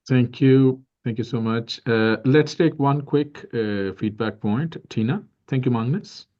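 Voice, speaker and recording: A man moderating an online pitch session, upbeat and reassuring, in short friendly turns. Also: measured pace, darkish tone. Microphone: video call. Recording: dull lo-fi video call audio.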